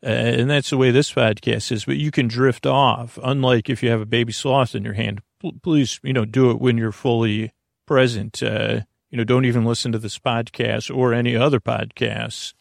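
A man with a heavily creaky, medium-pitched voice hosts a bedtime podcast. He rambles and digresses, starting phrases over and running words together. The sound is dry, with no room around the voice. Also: steady tone, dry recording. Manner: digressive, halting, repeats and smushes words. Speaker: podcast host.

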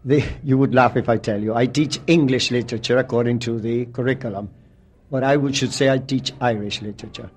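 A man's voice: medium pitch, darkish tone. Argentine-accented man, reflective interviewee. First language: Spanish. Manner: reflective, lists names and places as he recalls them. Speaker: literature teacher. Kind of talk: radio interview answers.

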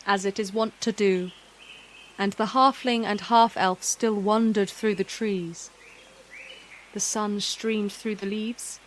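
A woman narrator reading a scripted fantasy tale in a measured, literary, wryly understated manner. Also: medium pitch, fairly bright tone, a little hiss or hum.

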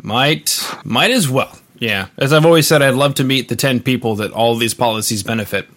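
A man hosting a podcast, chatting casually with his guests in a measured, deliberate way. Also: mid-range voice, a bit bright. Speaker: podcast host.

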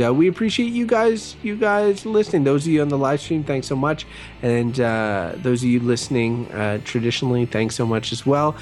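A man co-hosting a podcast in casual banter, chatty and rambling, with many fillers. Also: mid-range voice. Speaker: podcast co-host.

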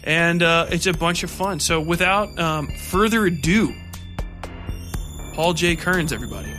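A man's voice: on the high side, unhurried, over music. A man hosting a podcast, talking in an informal, slangy, conversational way. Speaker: podcast host and interviewer. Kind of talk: casual podcast talk.

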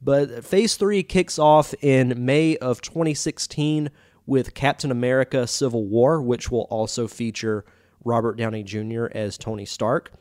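A man's voice: mid-range voice, steady tone, a little slow, darkish tone, no room sound, clean studio sound. Congested man hosting a podcast. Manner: chatty, enthusiastic, with many fillers. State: congested, sore throat. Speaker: podcast host. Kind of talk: casual podcast monologue.

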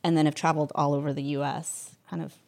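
Young woman guest, low gravelly voice, candid and chatty with frequent ums. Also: level tone, fast, a little mellow. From Spain. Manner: candid, chatty, laughs often, many ums. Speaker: interview guest. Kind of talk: casual interview chat.

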